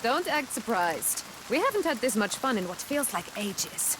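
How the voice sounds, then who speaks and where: A woman's voice: on the high side, big pitch swings, moderate pace. A woman playing a tough, restless mercenary captain in a game cutscene, speaking in a blunt, wry way. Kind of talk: dramatic game cutscene acting. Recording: rain and clear background noise.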